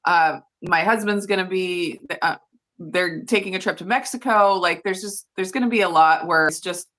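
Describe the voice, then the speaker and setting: Chatty woman in her thirties with a bright voice. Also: fairly low pitch, moderate pace, slight background noise, rough recording. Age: 30s. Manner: chatty, laughs often, lots of fillers. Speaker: co-host. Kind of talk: casual chat.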